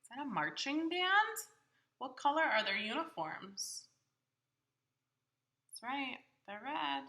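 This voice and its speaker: A woman with a very bright, crisp-edged voice reads a picture book to small children. Her pitch sits fairly high and swings expressively as she asks simple questions about what they can see. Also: sing-song, takes it slowly, pauses often. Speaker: children's storybook reader. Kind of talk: interactive picture-book read-aloud with questions to young children.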